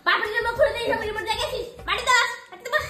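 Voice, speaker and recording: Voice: very high-pitched, racing, roomy sound. A performer voicing a bossy, scornful young girl in a children's cartoon, scolding and threatening in a haughty way over music. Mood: angry scolding. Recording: music behind the voice.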